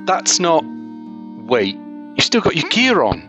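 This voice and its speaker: A man's voice: on the high side, huge pitch swings, takes it slowly, fairly bright tone, soft background music, full character voice. A man playing a weary, exasperated authority figure questioning someone in a dramatised fan fiction reading.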